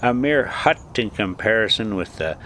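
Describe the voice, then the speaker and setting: A man reading a classic story aloud as scripted narration, with deliberate phrasing and dramatic emphasis. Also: lowish voice, animated, sharp tone, slight background noise. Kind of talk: scripted audiobook-style narration.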